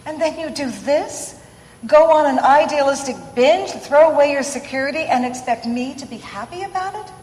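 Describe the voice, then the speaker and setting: A woman's voice: high-pitched, normal speed, darkish tone, close to an everyday voice. A woman acting a practical, skeptical sweetheart in an old-time radio play. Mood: disappointed. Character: practical, skeptical woman, fond but exasperated. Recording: echoey hall, stage radio play.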